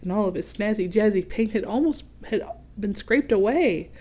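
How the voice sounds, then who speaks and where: A woman reading a picture book aloud to children, expressive and often turning to speak directly to the young listeners with questions and explanations. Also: mid-range voice, normal speed. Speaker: read-aloud host for children.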